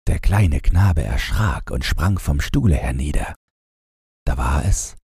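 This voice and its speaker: A man narrating a bedtime fairy tale as an audiobook reader, in a soothing, measured manner. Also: a bass voice, gravelly, mellow, slightly rough, dry recording, clean studio sound.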